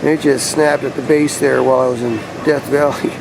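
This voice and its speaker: Gravelly, laid-back man chatting over outdoor noise. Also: medium pitch, flat delivery, a little fast. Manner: laid-back, conversational, explanatory. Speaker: vlogger showing a DIY radio antenna install. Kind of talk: casual rambling vlog talk to camera. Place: outdoors beside his truck. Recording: clear outdoor ambient and vehicle noise.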